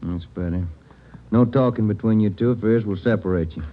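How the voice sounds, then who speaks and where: Male actor, detective's partner: lowish voice, dry, courteous, procedural. Old noisy radio sound. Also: a little fast, lightly acted. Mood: firm. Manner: businesslike, dry. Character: steady, polite but tired male police detective partner. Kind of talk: 1950s radio crime drama acting. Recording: dull, noisy vintage radio recording.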